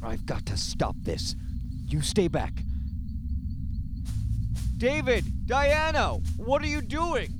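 A man's voice: very high voice, huge pitch swings, slow, long pauses, noisy background, exaggerated voice. A man playing an anxious, earnest scientist in an audio drama, speaking hesitantly and with urgency. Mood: alarmed.

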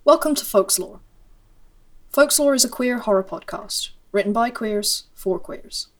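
A woman reading a podcast's scripted introduction in a calm, caring manner. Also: fairly high pitch, a little slow.

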